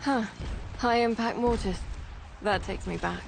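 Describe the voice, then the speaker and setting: A woman's voice: fairly high pitch, measured pace, creaky, background noise. An actress voicing a determined woman who leads a rebel operation in a video game, giving orders with an authoritative briefing manner. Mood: concerned. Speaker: mission commander. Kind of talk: video game character acting.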